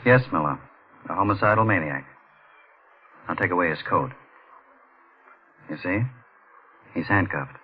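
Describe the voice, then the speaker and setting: An actor playing a stern, shrewd police captain with a deliberate, accusing manner, as in a 1940s radio thriller. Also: male voice, low-pitched, expressive, slightly rough, heavily acted. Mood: matter-of-fact. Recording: thin, tinny old radio recording.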